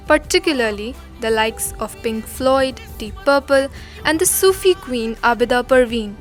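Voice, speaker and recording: A woman narrating a scripted documentary drama in a measured, solemn storytelling manner. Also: on the high side.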